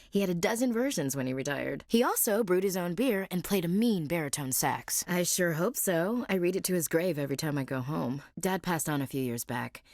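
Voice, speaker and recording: A woman's voice: sits low, darkish tone, dry recording, studio quality. An actress voicing a frank, wry young woman soldier in a video game, plain-spoken and devout. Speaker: marine gunnery chief. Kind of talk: video game character dialogue.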